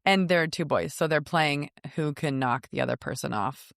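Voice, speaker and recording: A woman's voice: sits low, normal speed, gravelly, dry recording, studio quality. A middle-aged woman co-hosting a podcast, telling family stories in a casual, conversational way. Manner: conversational storytelling, quotes her kids. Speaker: podcast co-host. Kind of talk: casual chatty parenting podcast talk.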